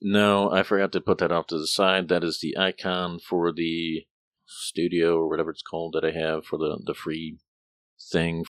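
A man with a very low voice narrates a tabletop game as its game master. He rambles and restarts a lot, and the sound is dry and close. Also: little pitch movement, normal speed, a bit bright, no room sound. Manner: rambling, hesitant with many fillers.

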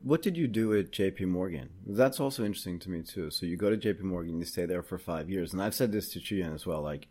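Middle-aged male podcast host, chatty, very deep, husky voice. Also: animated, quick pace, hardly any pauses, mellow. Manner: chatty, friendly, asks long questions. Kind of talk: casual interview.

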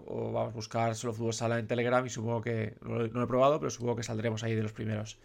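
A man's voice: medium pitch, gravelly, clear, resonant tone. A man in his thirties hosting a radio show, nasal and congested from a cold, rambling with many fillers and restarts. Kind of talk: casual radio talk.

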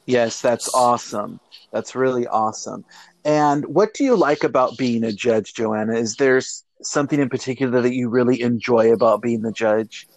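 A chatty, upbeat man hosts a casual interview with a voice of middling pitch. The recording is dry and close, with no room sound. He asks his questions in an easy, friendly flow. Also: fairly even intonation, moderate pace, no echo. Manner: upbeat, chatty, laughs often. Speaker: host of singing competition group.

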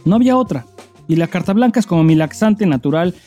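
A man's voice: high-pitched, animated, fast, warm tone, faint music behind. A man with a Mexican accent co-hosting a casual podcast, talking in loose, sweary slang as he chats and reads listener mail. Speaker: podcast co-host.

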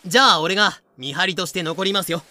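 An actor playing a rough, casual-spoken, doubtful pirate crewman in an audio drama. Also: sits high, fast, bright, forceful tone. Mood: matter-of-fact.

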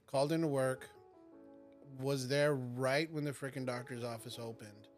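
A man's voice: mid-range voice, fairly even intonation, normal speed, faint music behind. Teasing, joking podcast host man. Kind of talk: casual chat.

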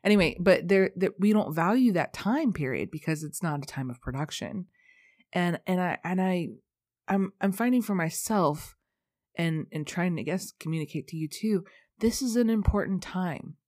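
A woman's voice: on the low side, expressive, normal speed, a little mellow, no echo, studio quality. A woman hosting a reflective solo podcast, earnest and self-deprecating, who laughs often and sounds congested. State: stuffy, congested. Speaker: podcast host.

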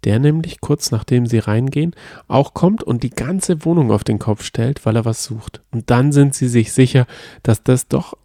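A man co-hosting a casual podcast chat, calmly retelling a detective story and teasing about spoilers. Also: mid-range voice, moderate pace, no room sound, clean studio sound. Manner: explains calmly and at length, playful about spoilers. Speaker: podcast co-host. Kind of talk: casual chat about a radio play series.

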